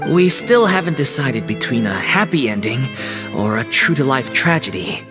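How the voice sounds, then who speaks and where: A man's voice: medium pitch, sing-song, normal speed, natural voice. A man voicing the courteous leader of a stage troupe in a game cutscene, polite and storytelling. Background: background game music.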